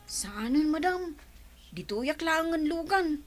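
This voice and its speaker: A woman doing a sleepy young Ilocano farmhand, always polite to his madam. The voice sits high and bounces around a lot, soft and a little drowsy. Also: lively intonation, takes it slowly, faint music behind. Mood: lazy, polite. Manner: deferential, addresses his boss as madam.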